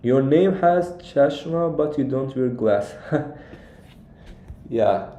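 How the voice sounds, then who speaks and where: Young man, Iranian accent, soft dark tone, halting casual live-stream coach, roomy echo. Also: medium pitch, animated. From Iran. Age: young adult. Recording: echoey, roomy sound.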